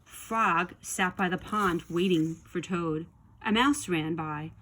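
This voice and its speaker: A woman reading a children's story aloud with expressive narration. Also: fairly low pitch, slow pace. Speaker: storybook reader.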